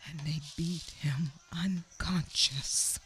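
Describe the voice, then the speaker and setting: A woman narrating a radio play in a slow, halting way, repeating words, swinging between raised cries and low whispers. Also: fairly even intonation, fairly bright tone, soft background music. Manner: drops to a whisper at times. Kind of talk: dramatic spoken-word storytelling.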